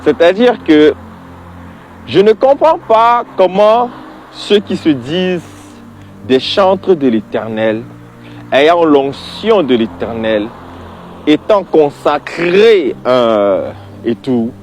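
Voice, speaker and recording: A man's voice: a little high, big pitch swings, slow, a little mellow, a little hiss or hum. A man giving an informal religious opinion monologue, exasperated and emphatic, repeating points and addressing the listener directly.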